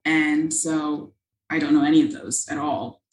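A woman's voice: deep voice, steady tone, measured pace, roomy sound, slight background noise, rough recording. A young woman, a missionary, answering interview questions earnestly and thoughtfully, with frequent ums. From United States.

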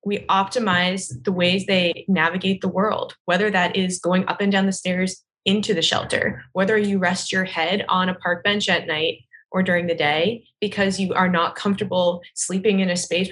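A woman's voice: fairly low pitch, fairly bright tone, poor audio. An American woman giving a conversational interview answer in an articulate, earnest and emphatic manner. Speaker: physical therapy clinician.

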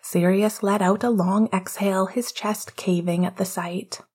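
A woman with a soft, breathy voice narrating written fiction as an audiobook-style reader. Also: medium pitch, steady tone, measured pace. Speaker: podfic reader.

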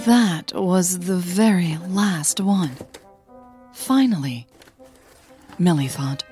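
A woman narrating a scripted audio story as a podcast host, with measured, deliberate phrasing. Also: fairly low pitch, animated, music in the background.

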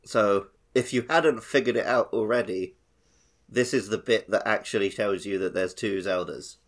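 A man's voice: lowish voice, normal speed. A man co-hosting a gaming podcast in a casual, jokey, conversational style. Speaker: podcast co-host.

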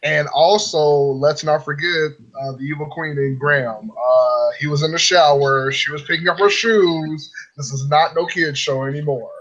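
A man's voice: on the high side, normal speed, few pauses, a bit bright, highs cut off. A man talking on a casual fan podcast, emphatic and repeating words as he pushes his point.

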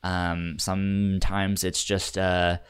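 A man co-hosts a casual podcast in a fairly low voice. He talks slowly and haltingly, often repeating the first sounds of a word before getting it out. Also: flat delivery, clear, resonant tone. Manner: halting, stammers over word starts. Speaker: podcast co-host. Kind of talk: casual chat.

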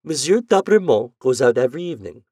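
A man voicing an anxious, jealous young wife with a high, breathless, emotional delivery. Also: big pitch swings, fast, darkish tone, slightly rough, dry recording, clean studio sound. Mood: agitated.

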